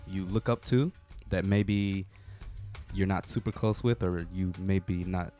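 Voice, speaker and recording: A man co-hosting a podcast, asking questions in a relaxed, rambling way. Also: deep voice, a little slow, soft background music. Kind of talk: casual interview question.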